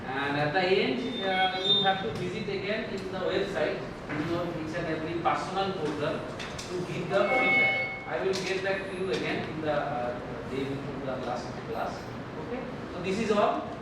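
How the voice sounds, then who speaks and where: A man with a high voice gives course announcements in a halting way, pausing often. He sounds far from the mic in a very echoey lecture hall. A loud rumble runs underneath. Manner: halting, many filler pauses. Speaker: course coordinator.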